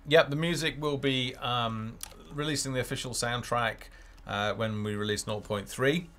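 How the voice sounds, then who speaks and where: A man's voice: mid-range voice, fairly even intonation, normal speed, a bit bright. A man hosting a casual game developer live stream, talking off the cuff in a hesitant, rambling way as he thinks aloud. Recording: music playing behind the voice.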